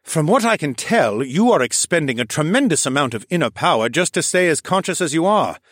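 Guy doing a goofy old alchemist uncle type, jovial, a bit higher and louder than the narrator, dry close sound. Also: very animated, fairly quick, no echo. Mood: earnest. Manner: jovial and reassuring. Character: dorky, kindly older male alchemist.

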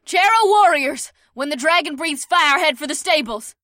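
Man putting on a high-pitched warrior woman. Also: fast talker, a bit bright, dry recording, studio quality. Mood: commanding.

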